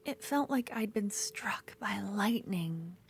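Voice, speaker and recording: A woman playing an obsessive, possessive yandere duelist in an ASMR fantasy roleplay, speaking intimately and moving between soft speech and breathy whispers. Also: mid-range voice, lively intonation, takes it slowly. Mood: infatuated, wistful.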